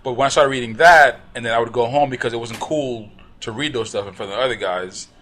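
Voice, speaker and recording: Man from the Bahamas, podcast guest, casual, animated, bright tone. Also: medium pitch, fast. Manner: animated, laughs often. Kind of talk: casual chat.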